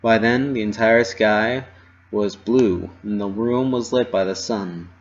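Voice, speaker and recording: Male story reader, lowish pitch, dramatic first-person narration, slightly muffled lo-fi sound. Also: unhurried. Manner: expressive, dramatic delivery. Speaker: reader of fan fiction.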